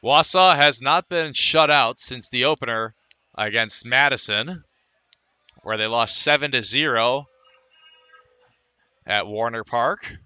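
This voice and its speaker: A man's voice: medium pitch, slow pace, long pauses, sharp tone, clean tone, dry recording. A man calling live baseball play-by-play for radio, in clipped phrases with dramatic calls.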